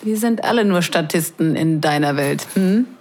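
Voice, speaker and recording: A woman's voice: deep voice, animated, moderate pace, a little mellow. A woman acting a sarcastic, flustered young sister of the groom in an intimate, confiding audio drama. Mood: dry, teasing. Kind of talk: intimate first-person audio drama narration and dialogue.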